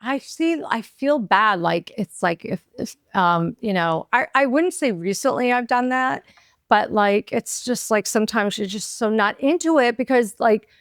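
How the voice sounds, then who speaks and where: A raspy woman in her fifties talks frankly in a casual bedside chat, swearing freely and laughing at herself. Her voice sits a little high with a gravelly fry, and the recording is dry and close. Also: lively intonation, unhurried, dry recording. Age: 50s. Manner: blunt, laughing, self-teasing. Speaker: podcast co-host. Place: in bed at home.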